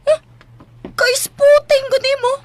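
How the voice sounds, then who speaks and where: A woman's voice: very high-pitched, flat delivery, slow, a little hiss or hum. A woman with a Cebuano Filipino accent playing a feisty, protective young farmer's daughter in a radio drama, whiny and emphatic. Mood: teasing scolding. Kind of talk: Philippine radio drama acting.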